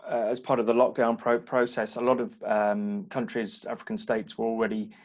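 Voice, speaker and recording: A man's voice: fairly low pitch, level tone, normal speed. A man hosting a panel discussion, who talks hesitantly with many ums and repeated words. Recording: muffled online call audio.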